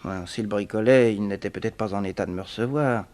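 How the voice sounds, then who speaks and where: An actor playing an observant detective who narrates his case with measured, wry musing in a 1960s French radio serial. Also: lowish voice, darkish tone, close to an everyday voice. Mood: musing, suspicious.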